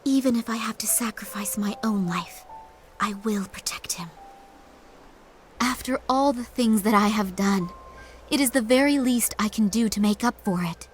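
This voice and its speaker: An actress voicing a devoted, self-sacrificing young noblewoman, speaking solemnly and at times in a hush. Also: on the high side, normal speed, faint music behind, natural voice. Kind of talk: video game dub acting.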